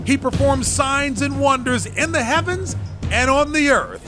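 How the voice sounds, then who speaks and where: A man's voice: very high-pitched, unhurried. A man playing an impassioned, declamatory king in a dramatized audio Bible, with music behind. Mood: exultant proclamation. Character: anguished, commanding king issuing royal decrees.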